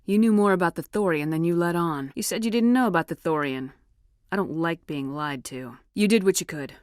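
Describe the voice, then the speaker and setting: Low-voiced, commanding female military officer played by an actress. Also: fairly quick, a little mellow, no echo, studio quality, lightly acted. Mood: probing, firm. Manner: firm, authoritative, clipped military delivery. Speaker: video game protagonist. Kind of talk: scripted video game dialogue acting.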